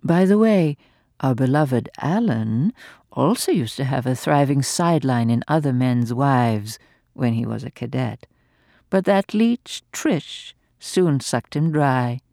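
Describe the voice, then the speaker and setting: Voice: mid-range voice, animated, takes it slowly, vocal fry, darkish tone, no room sound, studio quality. A reader voicing a spiteful, venomous old aristocratic woman who sneers and gloats theatrically. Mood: cackling.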